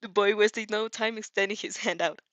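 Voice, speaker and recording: A woman narrating fan fiction in an excitable amateur style, often stumbling over her words. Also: mid-range voice, normal speed, dry recording, muffled recording. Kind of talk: animated amateur fan fiction reading with reactions.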